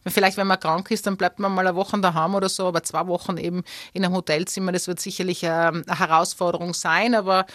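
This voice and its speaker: A woman's voice: on the low side, little pitch movement, quick pace, few pauses, fairly bright tone, no echo, studio quality. A woman from Tyrol with a Tyrolean Austrian accent, chatting as an interview guest, talking freely with many fillers and restarts. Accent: Tyrolean Austrian German. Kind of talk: casual interview chat.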